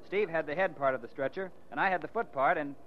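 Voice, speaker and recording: Quick-speaking earnest doctor played by a man. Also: a little high, natural voice. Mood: earnest, recalling. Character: earnest, respectful male ambulance doctor. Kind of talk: 1940s radio acting. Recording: dull, noisy 1940s radio recording.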